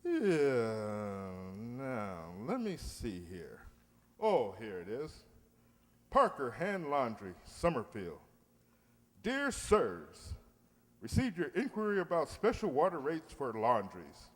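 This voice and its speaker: An actor playing a pompous, fussy middle-aged uncle in an old-time radio comedy. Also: male voice, medium pitch, huge pitch swings, takes it slowly, heavily acted. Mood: flustered. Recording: echoey hall stage recording.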